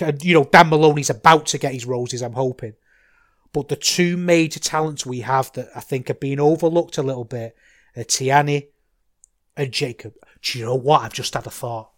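A middle-aged man with a Manchester accent, hosting a casual solo podcast, rambling and getting loud when excited. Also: a little high. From England. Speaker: podcast host.

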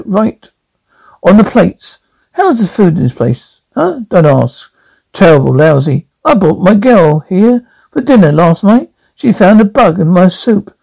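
A brash wisecracking club comic. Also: male voice, high voice, creaky, darkish tone, no echo, full character voice, rough recording. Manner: wisecracking, insulting the crowd. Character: brash sleazy male nightclub comic. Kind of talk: TV drama.

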